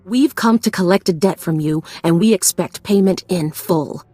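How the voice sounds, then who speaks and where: A woman's voice: fairly low pitch, normal speed, mellow, no room sound, faint music behind, close to an everyday voice. A woman playing a hard-edged, vengeful gangster in a video game, speaking with threat. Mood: menacing. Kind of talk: video game cutscene acting.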